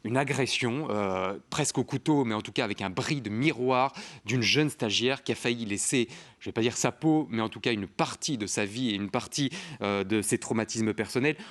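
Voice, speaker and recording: A man hosting a studio talk show, emphatic and lively as he presents. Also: mid-range voice, moderate pace.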